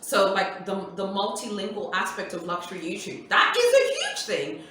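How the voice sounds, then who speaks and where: Bright-voiced woman in an echoey room. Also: mid-range voice, wildly swooping pitch, normal speed, a little hiss or hum. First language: Swahili. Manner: chatty, emphatic, repeats points, many "like"s. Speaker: luxury YouTuber host. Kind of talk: casual Q&A talk to viewers. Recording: echoey room, voice far from mic.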